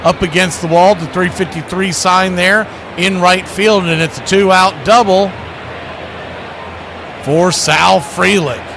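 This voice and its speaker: A man's voice: sits high, fairly even intonation, takes it slowly, vocal fry, background noise. A man calling live baseball play-by-play, conversational, with clipped calls and wry asides. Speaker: play-by-play radio announcer.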